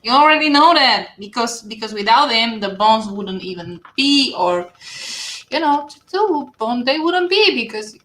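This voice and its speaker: A woman's voice: on the high side, lively intonation, normal speed, fairly bright tone, reverberant, rough recording. A woman from Slovakia with a Slovak accent, chatting casually and laughing easily as a guest artist. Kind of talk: casual chat.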